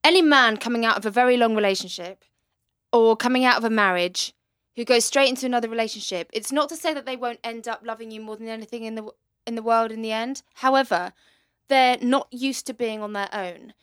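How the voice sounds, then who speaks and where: Co-host: woman, slightly high, opinionated, dry studio sound. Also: brisk, fairly bright tone, smooth, no room sound. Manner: opinionated, advice-giving. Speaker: podcast co-host. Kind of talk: casual chat.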